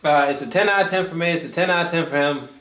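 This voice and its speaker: A man chatting casually through a movie review, rambling and halting, with frequent swearing. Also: fairly high pitch, a bit bright. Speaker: film review host. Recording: roomy echo, distant from mic.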